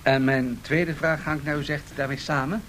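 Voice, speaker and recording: A man's voice: fairly even intonation, moderate pace, fairly bright tone, close to an everyday voice. A man posing questions in a polite, orderly way in a radio play discussion. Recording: 1970s radio recording with faint rumbling noise.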